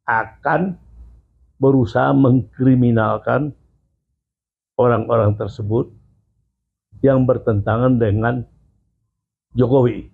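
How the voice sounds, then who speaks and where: A male guest giving slow, measured opinions in a casual interview. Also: mid-range voice, gravelly, slightly rough. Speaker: guest commentator. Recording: tinny phone-like audio.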